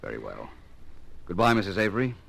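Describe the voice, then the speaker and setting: A man's voice: sits low, sing-song, fairly quick, vocal fry, a bit bright, breathy edge, exaggerated voice. A man playing a courteous, probing private investigator with formal, polished speech in an old radio drama. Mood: probing, pointed. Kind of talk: old-time radio detective drama acting.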